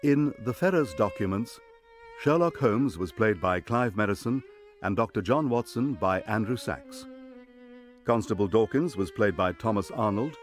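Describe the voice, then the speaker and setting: A British man announces scripted radio credits. His voice is on the low side, with soft violin music underneath. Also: animated, moderate pace.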